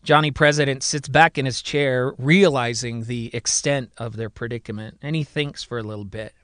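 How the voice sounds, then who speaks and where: A man's voice: mid-range voice, moderate pace, a bit bright, clean tone, dry recording, studio quality. A man narrating a scripted science-fiction serial as a dramatic storyteller.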